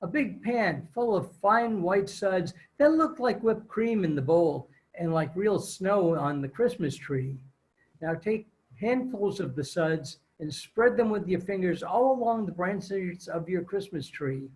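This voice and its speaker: A man's voice: high-pitched, animated, a little slow, rough recording. A man playing a folksy, enthusiastic announcer reading an old-time radio advertisement in a chatty, gushing way. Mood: cheery enthusiasm. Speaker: commercial announcer.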